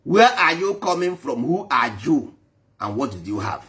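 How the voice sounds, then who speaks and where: A man's voice: on the high side, very animated, moderate pace, fairly bright tone. A man preaching emphatically to a live online audience, repeating his points for force. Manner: emphatic, repetitive, switching between English and Igbo. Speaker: traditional spiritual speaker.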